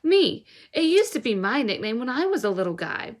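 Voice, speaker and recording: A woman voicing an evasive ten-year-old boy in a storybook, animated and defensive. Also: on the high side, normal speed, voice pushed up. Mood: defensive, feigned surprise.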